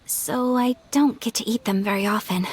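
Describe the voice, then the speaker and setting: A woman voicing a polite, refined young noblewoman who speaks gently in game dialogue. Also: medium pitch, moderate pace, breathy edge, lightly acted.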